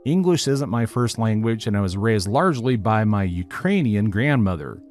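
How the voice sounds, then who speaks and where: A man narrating a written online story in a steady, even reading style. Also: on the low side, normal speed. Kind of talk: scripted narration of a reddit post. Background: faint background music.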